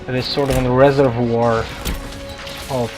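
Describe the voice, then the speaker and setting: Male gameplay commentator, casual and halting delivery, middle-pitched, over clear background game music. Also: flat delivery. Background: video game music.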